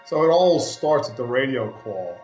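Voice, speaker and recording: A man's voice: medium pitch, big pitch swings, moderate pace, a little mellow, faint music behind. A man, a former police officer, explaining as an expert in an interview. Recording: echoey room, slightly dull video-call sound.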